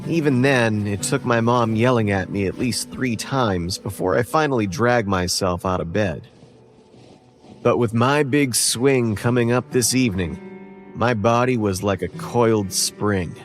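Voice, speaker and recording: Low-voiced earnest young ballplayer, acted by a man. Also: natural voice. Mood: resolute, tense. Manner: conversational, deliberate storytelling. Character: earnest, determined young male baseball player telling his story. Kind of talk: scripted first-person audio drama narration. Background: music.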